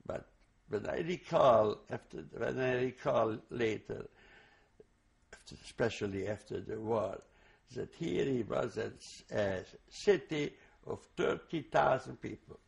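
Slow, gravelly older man recalling. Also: medium pitch, lively intonation. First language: Hungarian. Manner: halting, many restarts and fillers. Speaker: interviewee. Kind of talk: oral history testimony.

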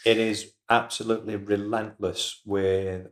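Low-voiced co-host in a roomy studio. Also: male voice, unhurried. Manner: relaxed, agreeable, frequent fillers. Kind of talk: casual chat. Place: indoor studio.

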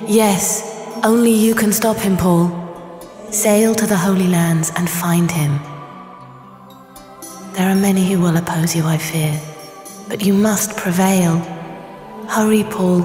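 A woman playing the solemn, gentle apparition of a young woman in a video game, with ghostly reverb. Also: on the low side, steady tone, a little slow, pauses often, soft background music. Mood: solemn pleading. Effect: ghostly echoing reverb. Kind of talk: dramatic game cutscene acting. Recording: echoing long reverb.

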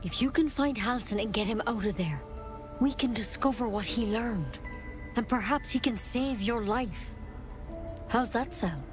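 An earnest druid woman from a fantasy game, knowledgeable and frank. She explains things in a measured way, with faint music underneath. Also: on the high side, animated. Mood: earnest, urgent. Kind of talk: video game dialogue acting.

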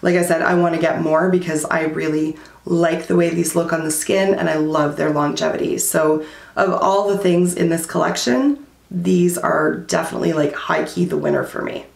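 A woman in her thirties giving a candid, chatty beauty product review at home, her voice going hoarse. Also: deep voice, moderate pace, reverberant. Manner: chatty and candid, many likes and fillers. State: losing her voice. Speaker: beauty vlogger. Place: small room at home, indoors.

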